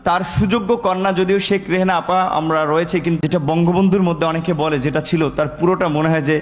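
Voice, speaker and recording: A man's voice: high-pitched, little pitch movement, quick pace. A Bangladeshi man giving a spontaneous account in a hesitant, rambling manner. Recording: long echoing hall reverb.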